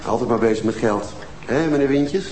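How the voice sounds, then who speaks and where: A man plays a blunt young detective who fires off sarcastic jabs at suspects. He talks fast, in a roomy, noisy TV soundtrack. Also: mid-range voice, darkish tone, natural voice. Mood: needling. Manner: sarcastic, needling.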